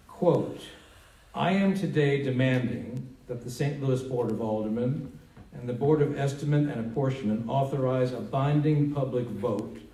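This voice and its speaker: Male political spokesman, medium pitch, formal public delivery, roomy distant recording with faint noise. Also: warm tone. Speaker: congressman's communications director. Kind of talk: public speech, reading a prepared statement. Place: indoor town hall meeting. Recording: echoey hall, distant mic, faint noise.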